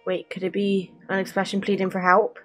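A woman's voice: mid-range voice, completely flat delivery, fairly quick. A young adult woman doing casual game playthrough commentary and reading lines aloud, close on a desk mic. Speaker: game streamer. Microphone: close desk microphone. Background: game music.

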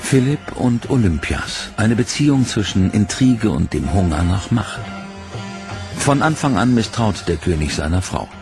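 A man narrating a history documentary in a measured, dramatic scripted style. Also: on the low side, very animated. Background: music.

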